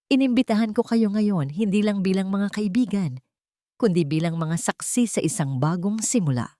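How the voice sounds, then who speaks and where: A woman's voice: mid-range voice, moderate pace, dark tone, no room sound, clean studio sound, close to an everyday voice. A woman voicing a dignified, proud elderly matriarch in a story, speaking formally and with stately poise. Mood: stately, resolute. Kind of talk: storybook character dialogue.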